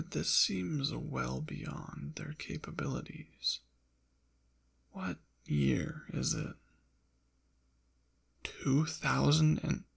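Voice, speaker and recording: A man playing a suave, possessive ancient demon in an intimate ASMR roleplay, teasing and haughty in formal, old-fashioned wording, with a breathy, often whispered, gravelly voice. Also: fairly high pitch, heavily acted. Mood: baffled, haughty.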